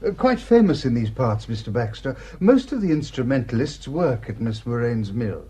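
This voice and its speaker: An actor playing a genial, fussy, absent-minded country rector with an English accent, speaking with hesitant courtesy and old-fashioned diction in a radio drama. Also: male voice, medium pitch, very animated, normal speed, warm tone, breathy edge. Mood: genial, courteous.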